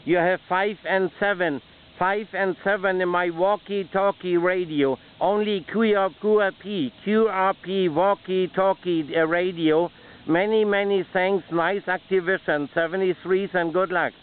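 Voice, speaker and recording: A man's voice: sits high, fairly even intonation, measured pace, clear, resonant tone, phone-quality sound. A man with a German accent making a CB radio contact, repeating phrases in courteous radio procedure. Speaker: amateur CB radio operator.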